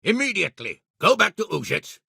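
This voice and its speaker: A man voicing a gruff, blunt, hot-tempered medieval lord and military leader in a video game, with a raspy, commanding delivery. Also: sits high, very animated, fairly quick, bright, forceful tone, no echo, studio quality, full character voice. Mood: exasperated. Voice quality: gravelly, raspy. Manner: blunt, commanding, coarse-tongued. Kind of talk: scripted game dialogue acting.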